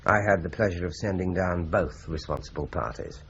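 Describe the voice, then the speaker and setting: An actor playing a polite, measured police inspector in a radio drama. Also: male voice, low-pitched, fairly bright tone, slight background noise. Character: calm, courteous Scotland Yard inspector, man. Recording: dull lo-fi radio recording.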